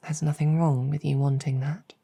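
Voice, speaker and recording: A woman playing a gentle, earnest, world-weary demon general in an ASMR roleplay, speaking softly and reassuringly with measured hesitation. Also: sits low, level tone, vocal fry, slightly rough, heavily acted. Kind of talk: improvised ASMR roleplay storytelling.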